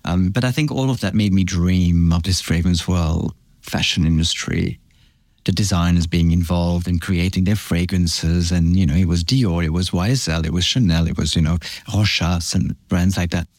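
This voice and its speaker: A middle-aged man from France and Belgium, a brand founder, recalling his past in a relaxed interview with halting, reflective restarts. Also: low voice, dry recording, clean studio sound. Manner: reflective, halting, warm storytelling with many restarts. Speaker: fragrance brand founder, guest. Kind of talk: casual interview chat.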